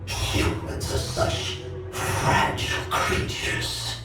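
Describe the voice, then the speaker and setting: A man voicing an ancient nightmare monster in a video game, grandiose, sly and formally menacing. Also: very slow. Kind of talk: video game villain acting. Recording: echoing and distant, music behind.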